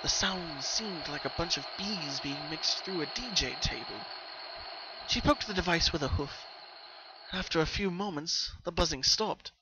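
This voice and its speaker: A man narrating a story as a dramatic reading, with expressive, suspenseful delivery. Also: a little high, a little slow, muffled recording, noisy background. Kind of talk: dramatic fan fiction reading.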